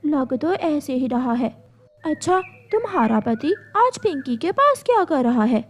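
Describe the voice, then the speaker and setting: A woman's voice: high voice, big pitch swings, a little slow. A woman voicing a bold, scheming female bird, a gossiping temptress in a cartoon story, forward and insinuating. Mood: confiding, gossipy. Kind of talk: Hindi cartoon story acting.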